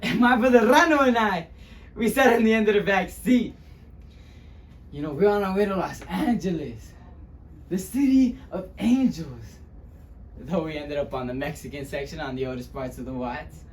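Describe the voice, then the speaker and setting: A man playing a wounded young Mexican-American man in a dramatic monologue about a hard childhood, speaking haltingly with long pauses. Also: high voice, voice pushed up. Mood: wistful, earnest. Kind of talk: dramatic interpretation monologue, speech-competition acting.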